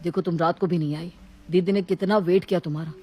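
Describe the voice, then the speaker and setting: A woman's voice: on the low side, steady tone, fast talker, warm tone, natural voice. A woman voicing a reasonable, mildly exasperated young husband in a story, reasoning and reproaching. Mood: reproachful.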